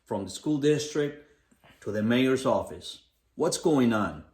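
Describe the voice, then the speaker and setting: A man's voice: medium pitch, lively intonation, slow, darkish tone. A man from the Los Angeles area co-hosting a community podcast, talking in an upbeat, motivational way with repeated phrases. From Los Angeles area, United States. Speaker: podcast co-host. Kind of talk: casual community podcast chat. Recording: echoey room.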